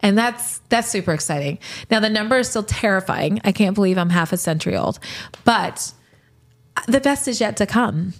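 A woman in her late forties, a podcast host, answering rapid-fire questions in a candid, reflective way and laughing easily. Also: mid-range voice, expressive. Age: 40s.

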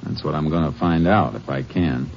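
An American actor playing a calm, determined Texas Ranger investigator in a 1950s radio drama, with terse, matter-of-fact delivery. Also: male voice, very deep voice, normal speed, vocal fry, full character voice. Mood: businesslike, probing. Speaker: lead actor in radio western. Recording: noisy, muffled old 1950s radio recording.

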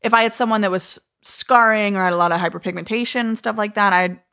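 A woman's voice: medium pitch, fast talker, bright, forceful tone. A woman co-hosting a casual podcast, chatting and explaining skincare products in detail with lots of fillers. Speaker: podcast co-host and skincare professional.

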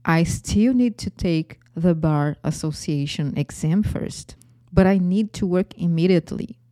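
A woman's voice: fairly low pitch, a little slow, mellow, no room sound. A woman in her forties with a Brazilian accent, hosting her own podcast and telling her life story with frequent light laughs. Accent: Brazilian Portuguese. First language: Portuguese. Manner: laughs often between phrases. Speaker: podcast host.

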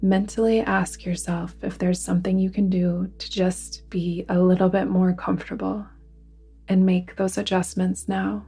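A woman guiding a relaxation meditation in a soothing, deliberate manner, with phrases that sometimes fall to a hush. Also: mid-range voice, level tone, gravelly, faint music behind. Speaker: yoga nidra guide. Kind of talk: guided relaxation meditation narration.

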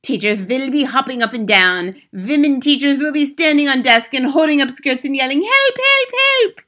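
A woman's voice: sits high, a little fast, a bit bright, voice pushed up. A woman voicing a wicked, bloodthirsty old witch leader in a storybook, commanding and triumphant, speaking in broken, foreign-sounding grammar. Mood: shrieking, gleeful.

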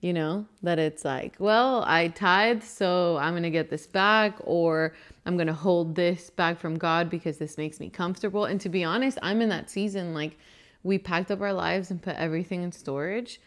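Young woman born in Venezuela, earnest podcast co-host with a slightly low voice, casual delivery. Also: normal speed. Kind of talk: casual faith podcast chat.

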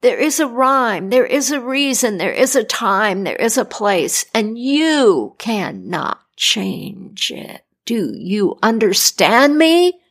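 A woman voicing a stern male Death figure in a told fairy tale, with slow, measured warnings and breathy whispers. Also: on the high side, sing-song, heavily acted.

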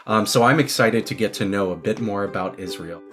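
A woman's voice: very deep voice, a little fast, soft background music. A woman teacher hosting a children's video-call lesson, encouraging them and asking questions.

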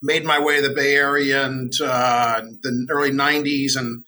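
Bright-voiced middle-aged American man. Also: mid-range voice, flat delivery, moderate pace. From United States. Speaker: podcast guest. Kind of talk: casual interview.

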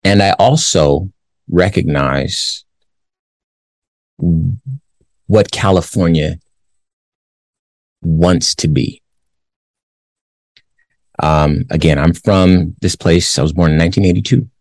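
A man in his forties from the Los Angeles area, hosting a commentary show. He reads a news story aloud, then gives his views in a measured way with many pauses. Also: sits low, a little mellow, dry recording. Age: 40s. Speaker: show host.

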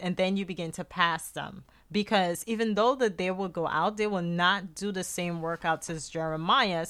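Middle-aged guyanese lady doing her faith podcast, earnest, kinda low voice, stumbles and repeats words. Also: fairly bright tone. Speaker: podcast host. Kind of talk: faith-based podcast monologue.

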